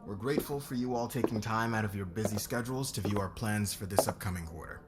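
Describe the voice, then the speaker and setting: A man acting as a demanding, controlling boss in an audio roleplay, speaking with measured, commanding formality. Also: fairly low pitch, very noisy, close to an everyday voice. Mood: polished, confident.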